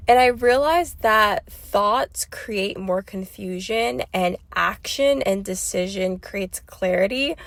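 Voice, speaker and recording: A woman's voice: medium pitch, dry recording. A woman with a stuffy, sick throat hosting a solo podcast, talking off the cuff with lots of fillers and restarts. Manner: chatty, rambling, many fillers and restarts. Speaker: podcast host. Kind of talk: unscripted solo podcast monologue.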